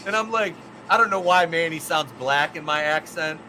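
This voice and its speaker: A man talks in a high, bright voice, swearing freely as he rambles through wrestling stories. The recording carries a faint hum of background noise. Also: normal speed. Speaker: podcast co-host and ring announcer. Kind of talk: casual profane chat.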